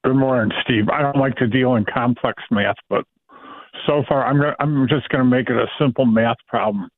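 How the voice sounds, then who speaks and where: A man's voice: mid-range voice, moderate pace, dry recording. A male caller, speaking wryly and self-deprecatingly on a radio call-in show. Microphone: telephone. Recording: tinny phone line.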